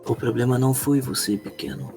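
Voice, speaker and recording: Man playing a calm, courteous, formal traveler. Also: mid-range voice, close to an everyday voice. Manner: measured, formal, reassuring. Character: calm, courteous male traveler speaking formally to guards and companions. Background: background music.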